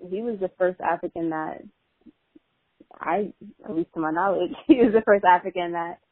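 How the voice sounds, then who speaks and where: Reflective woman guest, low voice, phone-like sound. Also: moderate pace, no echo. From Nigeria (family), raised in America. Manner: reflective, hesitant restarts. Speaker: podcast guest. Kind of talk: casual chat. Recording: dry thin phone-like sound.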